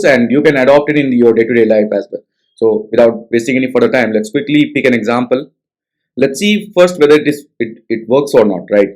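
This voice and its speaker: A man's voice: medium pitch, darkish tone, low-quality recording. Indian-accented man tutoring code. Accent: Indian English. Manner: conversational, checks in with frequent tag questions. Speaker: coding tutor. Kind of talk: screen-share coding tutorial.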